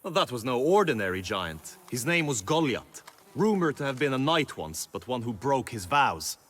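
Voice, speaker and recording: An actor playing an earnest, lovestruck young knight in a video game, with solemn, formal, archaic phrasing. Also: male voice, mid-range voice, very animated, normal speed, fairly bright tone. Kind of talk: video game cutscene acting.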